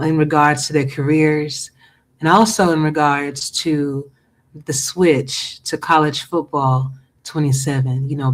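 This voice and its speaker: A man hosting a casual chat show, his voice going hoarse, upbeat with many ums. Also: a little high. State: losing his voice. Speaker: podcast host.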